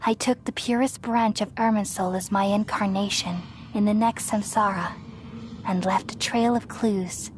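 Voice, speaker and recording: A woman voicing an ancient, motherly goddess of wisdom who speaks calmly and soothingly in a game cutscene. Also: on the high side, natural voice. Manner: calm, measured and soothing. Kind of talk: video game cutscene dialogue. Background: game score music.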